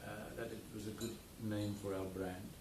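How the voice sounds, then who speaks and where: A man from South Africa who speaks Afrikaans as his first language, talking about his wine estate in an unhurried, explanatory way with an accent. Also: fairly low pitch, little pitch movement. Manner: unhurried, explanatory, with many fillers. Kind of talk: casual interview. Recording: roomy, distant mic, clear background noise.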